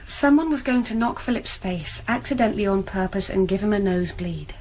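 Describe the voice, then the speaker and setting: A woman's voice: mid-range voice, expressive, a little fast, darkish tone, a little hiss or hum, natural voice. An actress playing an anxious, earnest young female student in a radio drama. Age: young adult.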